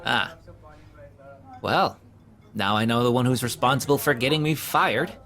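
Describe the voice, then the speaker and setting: Male actor as awkward young man, hapless, hesitant, sheepish, halting conversational delivery, mid-pitched voice, audio drama. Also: pushed and bright. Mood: glum, resigned. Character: awkward, hapless young man who keeps getting fired.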